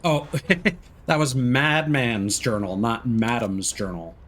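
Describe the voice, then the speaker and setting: A man's voice: mid-range voice, animated, slow pace, fairly bright tone. A man giving casual let's-play commentary as a game streamer, sometimes reading in-game notes aloud.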